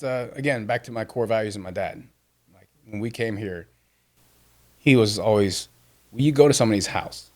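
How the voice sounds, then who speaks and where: A man in his fifties, born in Vietnam with Vietnamese as his first language, telling a personal story earnestly as a podcast guest. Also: fairly low pitch. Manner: earnest, with frequent restarts.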